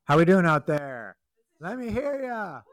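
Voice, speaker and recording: A man's voice: high voice, very animated, unhurried, no room sound. A man, a teacher, greeting a class in an upbeat voice.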